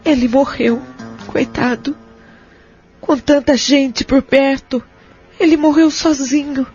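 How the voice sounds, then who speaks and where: A compassionate Brazilian care-home woman played by an actress speaks gently and seriously in an audio drama. Her voice sits a little high, with music clearly behind her on a slightly muffled recording. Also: fairly even intonation, takes it slowly. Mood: grieving. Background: background music.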